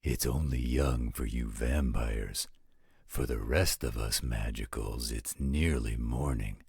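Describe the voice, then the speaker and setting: A man playing a gruff, blunt, protective werewolf with a gravelly, raspy voice in a roleplay audio drama. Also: rumbling low, slow, darkish tone, no room sound, clean studio sound, heavily acted. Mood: grudging, protective.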